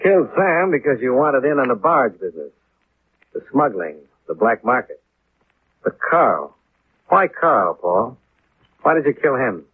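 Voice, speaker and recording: Through the thin band of a 1950s radio broadcast comes a world-weary American investigator. He is clipped and deadpan, with a slightly rough edge to the voice. The delivery is hardboiled detective drama. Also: medium pitch, measured pace, pauses often. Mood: probing, accusing. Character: terse, world-weary male insurance investigator. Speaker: lead radio actor and narrator. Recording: muffled, narrow-band vintage 1950s radio.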